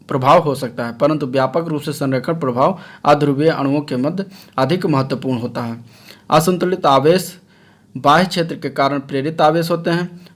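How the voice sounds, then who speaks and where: Male science teacher, voice a little high, didactic exam-prep lecturing from notes with regular check-ins. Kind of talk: explanatory lecture read from notes.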